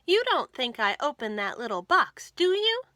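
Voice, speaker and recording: A woman voicing a prim, excitable female storybook hippo, with emphatic, theatrical delivery. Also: sits high, normal speed, dry recording, clean studio sound, voice pushed up, exaggerated voice. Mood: defensive.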